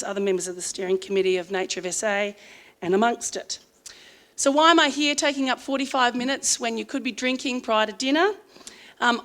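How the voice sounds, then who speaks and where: A woman's voice: a little high, a bit bright. Australian woman speaker, voice strained. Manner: chatty, frequent ums, earnest. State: strained voice from singing. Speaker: conference speaker. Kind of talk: conference presentation.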